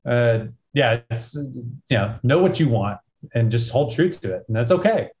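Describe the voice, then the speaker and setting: A man's voice: mid-range voice, a little slow, darkish tone, slight background noise. A man from Texas speaking casually as a podcast guest, giving earnest advice in short phrases. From Texas, United States. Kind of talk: casual conversational podcast talk.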